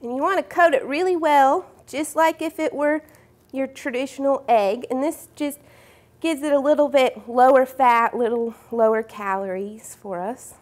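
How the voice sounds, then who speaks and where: Young woman, high-pitched, cooking demonstration, friendly explaining, studio kitchen, lapel mic. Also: moderate pace, darkish tone. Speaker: cooking show host. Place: home-style studio kitchen.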